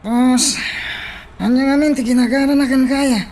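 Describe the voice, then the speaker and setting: A man's voice: extremely high pitch, fairly even intonation, moderate pace, darkish tone. A man acting an eager henchman reporting to his boss in an Ilocano radio drama. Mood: eager, prying.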